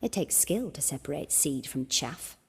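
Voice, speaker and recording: A low, husky dream seer. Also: female voice, lively intonation, heavily acted. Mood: calm, instructing. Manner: measured, instructing. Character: calm, solemn female dream seer, gently commanding. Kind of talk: video game dialogue.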